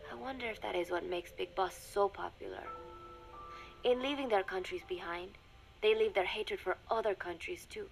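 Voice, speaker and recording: An actress playing a young secret agent confiding in a recorded diary, speaking in measured, formal phrases that often drop to a murmur, wistful and resigned. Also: female voice, medium pitch, creaky, soft background music, lightly acted. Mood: wry, amused. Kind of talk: scripted dramatic monologue, recorded diary.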